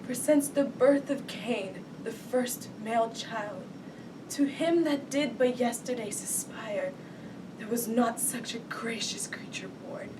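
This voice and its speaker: A woman performing a grief-stricken noblewoman mother in a Shakespearean stage monologue, with theatrical, drawn-out lamenting. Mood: sorrowful, pleading. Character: grief-stricken noblewoman mother mourning her captive young son. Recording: distant mic, rumbling background noise.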